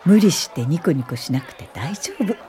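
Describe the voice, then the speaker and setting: An actress playing a gentle older woman professor of social work in a radio drama, speaking softly, politely and without hurry in a warm, encouraging way. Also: deep voice, animated, some chatter behind. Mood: gentle, reassuring. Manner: soft, polite, unhurried, old-fashioned feminine Japanese. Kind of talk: radio drama acting and reminiscing narration.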